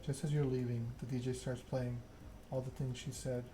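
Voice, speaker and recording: A man narrating a story game aloud in ASMR style, reading in a measured way with occasional stumbles. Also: mid-range voice, level tone, background noise.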